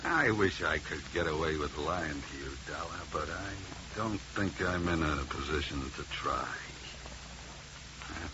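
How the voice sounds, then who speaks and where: Deep, tough, cynical oil man. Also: a little slow. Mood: resigned, bitter. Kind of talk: 1950s radio drama acting. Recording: dull, hissy 1950 radio recording.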